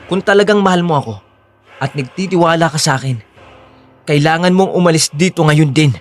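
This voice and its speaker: Man acting as controlling boyfriend, lightly high voice. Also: brisk, soft background music, natural voice. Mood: wary, pressing. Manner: coaxing, mixes Tagalog with English phrases. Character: controlling, two-faced boyfriend feigning sweet concern. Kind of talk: Filipino audio drama acting.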